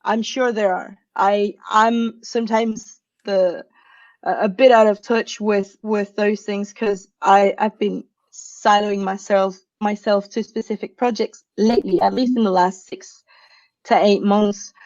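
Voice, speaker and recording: A woman's voice: mid-range voice, fairly even intonation, unhurried, a little mellow, no echo, dull audio. A woman from Venezuela giving a tech talk over a video call, chatty and often laughing. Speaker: conference speaker.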